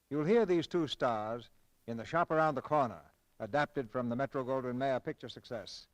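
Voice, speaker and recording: A man's voice: medium pitch, dry recording, rough recording. A man hosting a radio theatre in scripted 1940s style, formal and theatrical in his delivery. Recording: old radio broadcast, music behind.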